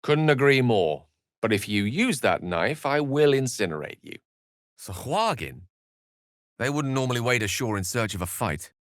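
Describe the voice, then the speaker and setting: A man's voice: mid-range voice, sing-song, normal speed, no echo, studio quality, natural voice. A man playing an erudite, courteous and wry wizard in a video game, speaking in eloquent, theatrical sentences. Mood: resolute. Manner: eloquent, articulate, theatrical, wordy. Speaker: video game character voice actor.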